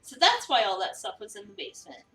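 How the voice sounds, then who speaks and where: A woman's voice: medium pitch, sing-song, quick pace, fairly bright tone, faint music behind, pitched below the performer's own voice. A woman voicing a curious, casual young newcomer at a cafe in a visual novel. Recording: echoey room, distant mic.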